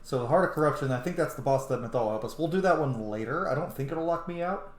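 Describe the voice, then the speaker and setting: A man giving casual, excitable, sweary commentary as he plays a role-playing video game. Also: mid-range voice, quick pace. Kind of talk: casual let's play commentary. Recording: roomy echo, clearly noisy background.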